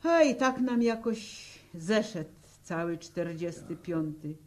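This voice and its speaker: An actress playing a weary peasant widow with a rural Polish accent, telling her story haltingly in a 1960s radio drama. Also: lowish voice, expressive. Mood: sorrowful. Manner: slow, halting storytelling in plain folk speech. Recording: old 1960 radio drama recording.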